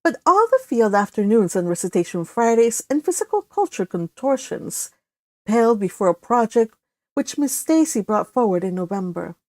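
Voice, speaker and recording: A woman's voice: a little high, very animated, moderate pace, darkish tone, dry recording. Dry-sounding woman storyteller reading aloud. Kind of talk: read-aloud narration of a novel.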